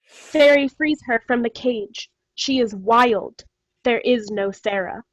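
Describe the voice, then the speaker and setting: A woman's voice: a little high, slow pace, dry recording, lo-fi sound. A woman reading stage directions as scripted dramatic narration on a video call.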